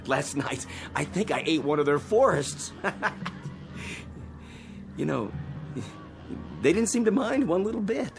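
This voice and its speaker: An American actor playing a cocky, power-hungry astronaut technician in a radio play, gloating and excitable. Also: male voice, high-pitched, takes it slowly, vocal fry, long pauses, over music, heavily acted. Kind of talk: dramatic radio play acting.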